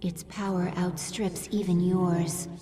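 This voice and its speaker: An actress playing a calm, deferential female alien servant in a video game, speaking formally and with measured care. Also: lowish voice, noisy background. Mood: questioning. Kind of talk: video game character acting. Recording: long hall reverb, somewhat distant.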